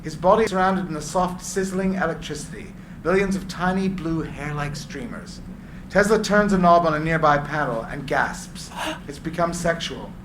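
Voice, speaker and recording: A man reading screenplay stage directions aloud as a narrator, in deliberate, theatrical phrases. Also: on the high side, a bit bright. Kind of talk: dramatic live script reading. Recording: echoey room with clear background noise.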